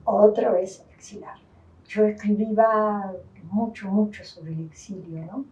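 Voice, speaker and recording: An older woman with a Uruguayan Spanish accent tells her life story in an interview, pausing and restarting as she thinks. Her voice is mellow and dark. The recording is dull and roomy, with the mic set back from her. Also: medium pitch, steady tone. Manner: thoughtful, with many restarts and fillers. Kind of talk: reflective personal testimony. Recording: distant, dull, roomy sound.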